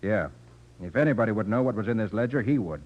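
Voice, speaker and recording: Confident master sleuth, 1940s radio crime drama, rapid emphatic delivery, dull old recording. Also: male voice, lowish voice, animated. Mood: brisk. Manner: assured, deductive, emphatic. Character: shrewd, confident male private detective, reasoning things out.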